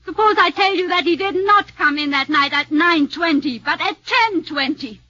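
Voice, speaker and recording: Bitter wife, cold and clipped, high bright voice, lo-fi old radio. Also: female voice, moderate pace, no echo. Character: bitter, scornful wife of the accused. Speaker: radio drama actress. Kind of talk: 1940s radio acting. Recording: lo-fi 1940s radio broadcast.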